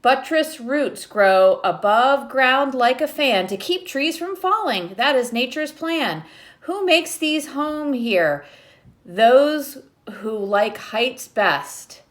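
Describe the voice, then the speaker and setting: Slow, high-voiced woman reading to children. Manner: expressive storybook delivery, addressing young listeners. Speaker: teacher reading aloud to children. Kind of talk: children's picture book read-aloud with explanatory asides.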